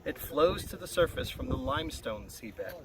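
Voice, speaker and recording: A man's voice: high voice, wildly swooping pitch, normal speed, vocal fry, voice pushed up, full character voice. Man voicing a perceptive storybook elk, noisy recording. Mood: explaining. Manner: explanatory. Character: perceptive elk calmly explaining rock formations. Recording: very noisy, distant-mic recording.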